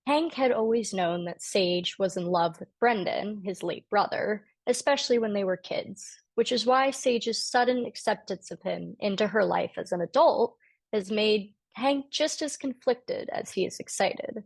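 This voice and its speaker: A woman's voice: mid-range voice, a little slow, creaky, dry recording. A woman writer-director introducing a table read and reading out the stage directions as scripted narration.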